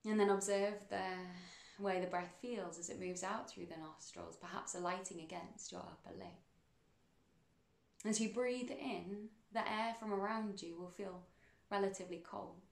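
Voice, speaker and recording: A woman leads a guided meditation in a soothing, unhurried way. Her mid-range voice has a noticeable fry to it. She speaks in measured phrases with pauses, and the room adds clear echo around her words. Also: mid-range voice.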